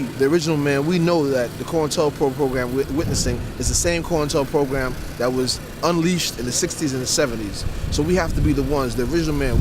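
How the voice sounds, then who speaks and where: A man speaking as an activist in an impromptu street speech, emphatic and didactic, addressing people outdoors. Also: mid-range voice. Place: outdoors in a city square. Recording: noisy outdoor street recording with traffic.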